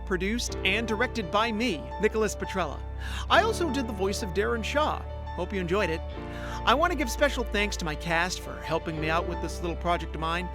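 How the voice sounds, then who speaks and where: A man reading a casual scripted outro as the writer and director of an audio play. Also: high-pitched.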